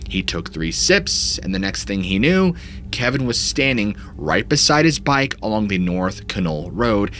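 Man narrating with lively, dramatic pitch. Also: unhurried, slight background noise. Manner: dramatic storytelling with sweeping rises and dips. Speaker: host. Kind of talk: scripted narration of paranormal stories. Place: small dark home studio. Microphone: studio mic in front of him.

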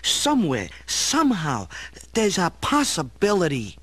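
A gullible younger brother, a plumber who rambles earnestly. The voice is high for a man and very lively in intonation, in radio-play style. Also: measured pace, breathy edge, no room sound, full character voice. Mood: musing. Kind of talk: radio-play character acting.

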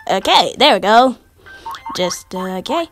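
A woman voicing a careless, bumbling, excitable clown in a cartoon fan dub, muttering and exclaiming to himself. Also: mid-range voice, measured pace, faint music behind. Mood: absorbed muttering.

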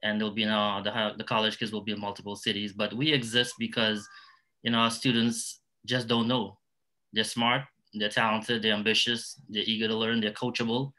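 Middle-aged Trinidadian man, panelist. Low-pitched, forceful bright tone, talking from experience. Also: flat delivery, moderate pace, low-quality recording. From Trinidad and Tobago. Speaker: nonprofit founder, panelist. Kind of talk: panel discussion talk, personal stories.

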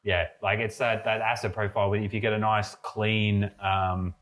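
A man's voice: fairly low pitch, normal speed. A young man co-hosting a podcast from an indoor brewery, chatting casually with lots of fillers and restarts as he tastes a homebrew. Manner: relaxed, rambling, many fillers and restarts. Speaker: podcast co-host, mead brewer. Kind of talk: casual tasting chat. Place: brewery room with steel tanks, indoors.

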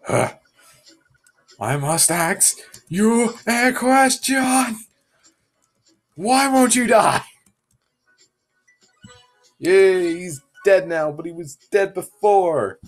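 A young man giving goofy, self-mocking commentary while playing a game, sick and sounding deeper than usual. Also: expressive, very slow, long pauses, faint music behind. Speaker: gamer. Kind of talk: casual gameplay commentary.

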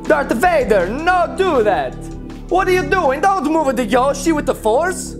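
Excitable cartoon plumber, mock Italian accent, high pitch, broken grammar, playful scolding, light music underneath. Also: female voice, measured pace. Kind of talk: playful toy unboxing in character.

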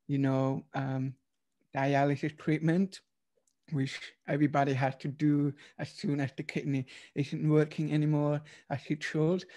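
Hesitant Swiss man, flat higher voice. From Switzerland. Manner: hesitant, many uhs, slightly non-native phrasing. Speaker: podcast guest. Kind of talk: personal storytelling interview. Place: home room, video call.

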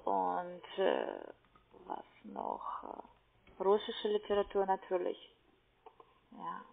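A woman talks on a narrow phone line as a call-centre agent. Her delivery is very slow and halting, as she searches for words in a language not her own. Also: mid-range voice, huge pitch swings, vocal fry. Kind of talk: phone conversation.

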